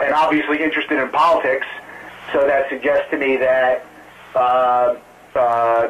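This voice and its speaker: A man on a distant, band-limited conference call line with some hiss. He fields press questions as a campaign spokesman. His delivery is careful and halting, full of hedges. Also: mid-range voice, muffled recording. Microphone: telephone conference call.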